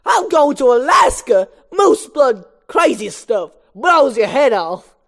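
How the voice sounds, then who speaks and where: A woman voicing a frantic, streetwise male cartoon mosquito, yelling like a brash comedian. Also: sits high, sing-song, takes it slowly, voice pushed up, heavily acted. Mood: excited. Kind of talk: animated film script read-through.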